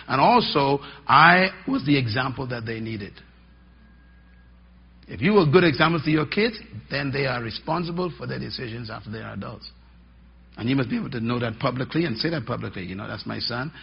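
A middle-aged man preaching a teaching sermon, emphatic and folksy, swinging between loud stresses and quiet drops. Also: mid-range voice, animated, brisk, creaky, breathy edge. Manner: emphatic, rising and dropping for effect, folksy asides. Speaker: pastor. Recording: dull lo-fi sermon recording.

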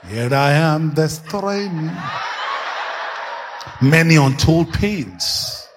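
A male pastor preaching a sermon, dropping his voice low and then bursting into shouts. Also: slight background noise. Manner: preaching with sudden shouts and dramatic swings in pitch.

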